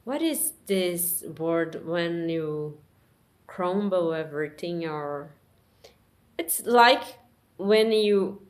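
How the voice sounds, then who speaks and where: Woman, halting English monologue, very slow and soft-spoken. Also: medium pitch. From Brazil. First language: Portuguese. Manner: halting, searching for words, many pauses and restarts. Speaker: vlogger. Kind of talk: personal reflective monologue.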